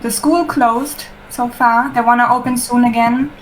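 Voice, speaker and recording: A woman from Germany on a video call, explaining things clearly. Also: on the high side, steady tone, moderate pace, a little hiss or hum, rough recording. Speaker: interviewee.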